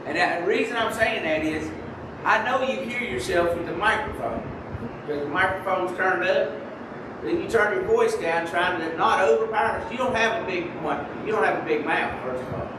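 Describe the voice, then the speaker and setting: A man in a church speaking loudly and bluntly without a microphone, teasing the preacher. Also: high voice, moderate pace. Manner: loud, blunt and joking. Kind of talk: off-the-cuff remarks to a preacher. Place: church sanctuary. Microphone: no microphone, off-mic. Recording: distant voice in echoey church with noise.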